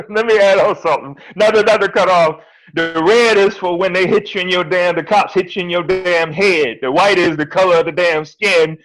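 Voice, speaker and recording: A man's voice: high voice, fairly even intonation, moderate pace, noisy background. A man speaking bluntly on a casual panel discussion, joking and swearing freely.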